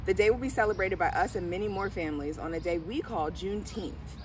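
A middle-aged woman reads a children's picture book aloud. Her voice sits at an average pitch. It is picked up outdoors from a short distance, with light ambient noise behind her. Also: fast talker, darkish tone. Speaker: school diversity director reading aloud. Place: outdoors on a garden bench under trees. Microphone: camera a few steps away. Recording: distant camera mic, outdoor background noise.

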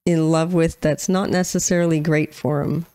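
A woman's voice: deep voice, steady tone, moderate pace, dark tone, dry recording, studio quality. A woman, chatting casually as co-host of a music reaction channel. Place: small room at home, indoors. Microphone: close boom-arm microphone.

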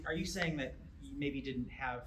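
A man leads a panel on a handheld mic, asking casual follow-up questions. His voice carries around a large meeting room with a clear echo. A steady hum of background noise sits underneath. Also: medium pitch, moderate pace. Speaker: panel moderator. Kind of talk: panel discussion.

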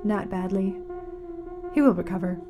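A woman playing a grave, mournful radio announcer in a fiction podcast, speaking solemnly and dramatically with weighted pauses. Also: medium pitch, lo-fi sound, music in the background, close to an everyday voice. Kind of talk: scripted fiction-podcast narration as a community radio broadcast.

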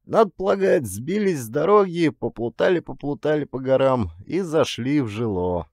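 A man's voice: medium pitch, animated, moderate pace, darkish tone, dry recording, clean studio sound, pitched above the performer's own voice, close to an everyday voice. A man voicing a plain-spoken factory clerk in an audiobook, explaining things in a folksy way.

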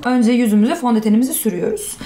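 A woman's voice: fairly high pitch, racing, dark tone, roomy sound. A young woman from Germany talking casually and self-deprecatingly as a guest on a makeup tutorial. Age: young adult. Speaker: guest makeup video creator. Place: small indoor studio set, seated.

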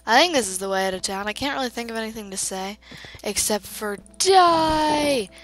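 A woman's voice: fairly high pitch, animated, normal speed, a bit bright, dry recording. A woman with a slightly congested voice giving chatty, playful commentary as she hosts a gaming video. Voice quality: slightly congested, stuffy nose. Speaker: gaming channel host. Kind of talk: casual let's play commentary.

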